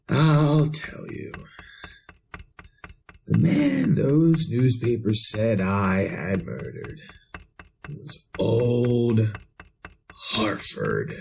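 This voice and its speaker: A tormented man on the edge of madness in an old-time radio horror play. He speaks slowly and haltingly, stammering and repeating himself, with pitch that swings wildly. Clear noise sits behind the voice. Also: exaggerated voice. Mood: haunted, brooding. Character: tormented man narrating his own descent into madness.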